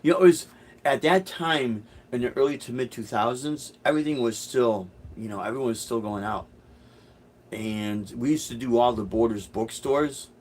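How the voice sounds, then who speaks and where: A man's voice: mid-range voice, normal speed, a little mellow, a little hiss or hum. A middle-aged man from Chicago chatting casually on a live stream, answering viewer comments in a rambling, hesitant way with many restarts. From Chicago, USA. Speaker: musician hosting a live stream.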